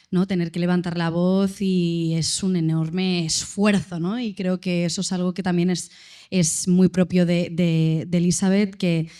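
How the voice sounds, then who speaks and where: A thoughtful woman answers on a stage panel, pausing to search for her words. Her voice is lowish, mellow and notably flat in melody, carried by a handheld mic. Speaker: actress. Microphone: handheld microphone.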